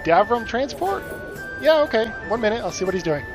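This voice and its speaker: A helpful barkeep, played by a man in a fantasy radio play. His voice is high and lively in intonation, set over clear music. He talks casually to customers. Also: a little fast. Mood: agreeable. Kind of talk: fantasy radio drama acting.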